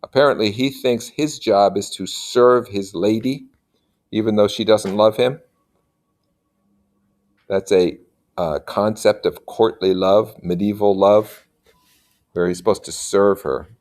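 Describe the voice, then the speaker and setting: A man with a fairly low voice reads literature aloud like a teacher. He mixes plain narration with conversational, explanatory asides. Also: measured pace, long pauses, darkish tone. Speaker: teacher reading and commenting on literature. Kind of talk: read-aloud story with informal explanatory asides.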